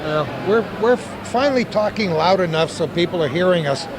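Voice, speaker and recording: A man's voice: high voice, animated, moderate pace, background noise. A man hosting a local TV show, chatting jovially with guests at a business expo and laughing often. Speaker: local TV host. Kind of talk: casual on-location interview. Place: busy indoor business expo.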